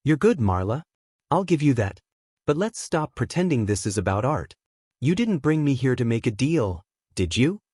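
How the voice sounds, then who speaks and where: A man voicing a smooth, knowing, menacing high-society schemer in an audiobook, speaking in a measured way. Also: sing-song, darkish tone, dry recording, clean studio sound. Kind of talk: audiobook character dialogue.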